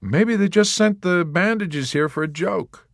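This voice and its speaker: A man voicing a mischievous young patient who makes sly suggestions in a deadpan way. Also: high voice, a little slow, creaky, no echo, studio quality, pitched above the performer's own voice. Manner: deadpan, helpful-sounding.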